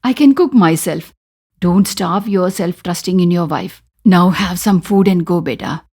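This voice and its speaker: A woman with an Indian accent voicing an aging mother-in-law in an animated story, bitter and nagging yet loving, complaining in exclamations. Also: on the low side, a little slow, slightly rough, pitched below the performer's own voice. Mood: bitter, reproachful.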